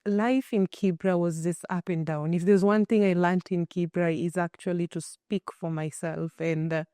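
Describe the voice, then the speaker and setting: A Kenyan woman in her thirties, telling her life story as an interview guest in an earnest, expressive way with many restarts. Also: lowish voice, moderate pace, dry recording, studio quality. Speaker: guest, shelter founder.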